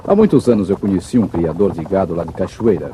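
An actor playing a noble, upright cowboy hero with a Brazilian accent, speaking in an earnest, formal way in an old radio serial. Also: male voice, sits low, lively intonation, quick pace. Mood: calm, assured. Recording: muffled vintage radio recording with background noise.

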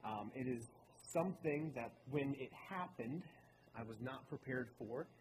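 Man, late twenties, from Baltimore, church talk, earnest storytelling, mid-pitched voice, clear background hum. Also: expressive, measured pace. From Baltimore, Maryland. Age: late 20s. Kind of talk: personal talk in a sermon. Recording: reverberant hall with clear room noise.

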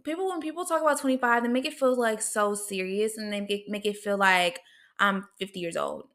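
A woman's voice: mid-range voice, fairly quick, fairly bright tone. A woman, hosting her own podcast in an upbeat, chatty way and laughing often. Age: 20s. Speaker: podcast host. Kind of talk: casual solo podcast chat.

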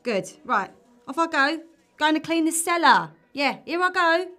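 Sarcastic, sweary female bar worker for audio comedy; weary attitude, slightly high voice. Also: sing-song, a little slow, pushed and bright, soft background music, heavily acted. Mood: exasperated. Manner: blunt, dry. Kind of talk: audio drama dark comedy.

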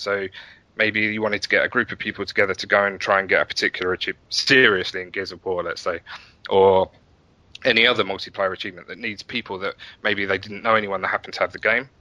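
A man's voice: on the low side, sharp tone, no room sound, poor audio. A middle-aged man talking casually as an interview guest, explaining how he built something in a self-deprecating way. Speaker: interview guest, website founder and developer.

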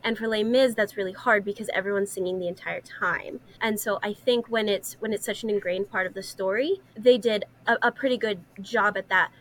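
Young woman, medium-pitched voice, podcast guest, casual discussion, opinionated. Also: steady tone, brisk, hardly any pauses, low-quality recording. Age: young adult.

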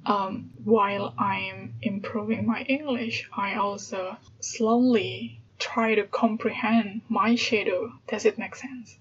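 A woman's voice: mid-range voice, fairly even intonation, slow pace, hardly any pauses, fairly bright tone. A woman from Indonesia with an Indonesian accent, hosting a casual solo podcast and thinking aloud with hesitations and light laughs. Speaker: podcast host. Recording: dull lo-fi sound with background noise.

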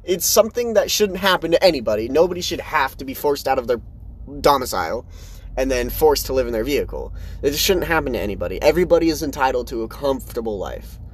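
A young man in his early twenties talks through his own podcast in loose, run-on sentences. His voice sits at an ordinary middle pitch, and his delivery is frank and unpolished, jumping between thoughts. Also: fast, breathy edge. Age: 20s. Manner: rambling, candid, animated swings in pitch. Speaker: podcast host. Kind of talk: casual solo podcast monologue.

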